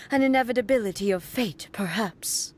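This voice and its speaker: Woman as game warrior goddess, slow delivery, medium pitch, solemn, stately, formal, grave. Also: slight background noise, lightly acted. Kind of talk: video game character acting.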